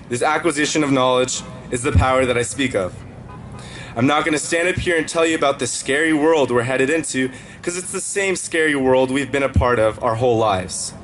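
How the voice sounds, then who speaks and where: A teenage boy, valedictorian, giving a graduation speech with joking, deadpan asides. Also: on the high side, rough recording. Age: teens. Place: outdoor graduation ceremony.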